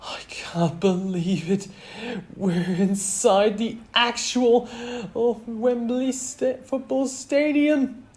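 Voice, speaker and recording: A man voicing an excitable, awestruck young son in a children's story. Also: very high voice, slow pace, pitched above the performer's own voice.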